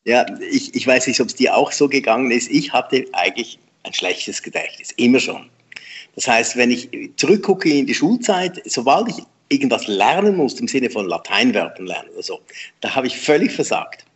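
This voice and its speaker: A man, a memory trainer telling lively, self-deprecating anecdotes in an interview and laughing often. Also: mid-range voice, moderate pace, breathy edge. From Switzerland. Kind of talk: conversational storytelling interview.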